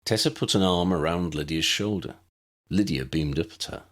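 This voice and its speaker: A man's voice: low voice, normal speed, fairly bright tone. A man reading a story aloud as a scripted audiobook narration.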